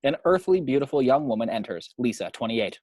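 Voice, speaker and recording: A man with a mid-pitched voice narrates the action of a screenplay. He reads scene headings and descriptions as scripted narration. The sound is close and dry, without room echo. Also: big pitch swings, brisk, darkish tone, slightly rough.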